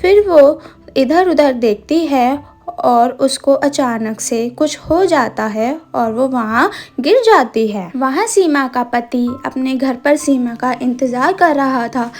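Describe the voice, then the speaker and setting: A woman's voice: high-pitched, darkish tone. A woman narrating a scripted cartoon story as the storyteller.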